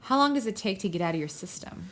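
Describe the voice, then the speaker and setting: A woman co-hosting a comedy podcast, chatting casually and riffing in an animated, giggly way. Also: medium pitch, quick pace. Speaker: podcast co-host.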